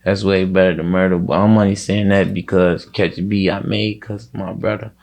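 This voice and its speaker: A man's voice: low voice, little pitch movement, normal speed, darkish tone. A man speaking as a guest in a casual interview, in a slangy, rambling way that often repeats itself.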